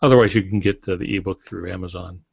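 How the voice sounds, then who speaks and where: An older man with a lowish voice explains things deliberately, like a teacher on a podcast. The sound is dull and narrow, with a dry edge to none of it. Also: steady tone, creaky, breathy edge. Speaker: podcast co-host and novelist. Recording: dull, roomy lo-fi audio.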